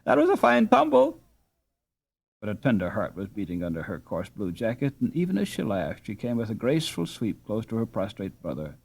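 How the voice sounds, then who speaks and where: A man reading a story aloud as an audiobook narrator, in an expressive, dramatic storytelling manner. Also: on the low side, a little fast, hardly any pauses, husky.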